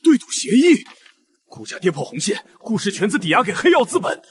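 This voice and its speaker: A man's voice: sits high, wildly swooping pitch, a little fast, husky, heavily acted. A man playing an angry, scheming businessman in a heated, confrontational melodrama. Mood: furious. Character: furious scheming businessman, betrayed and threatening. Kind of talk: dramatic audio drama acting.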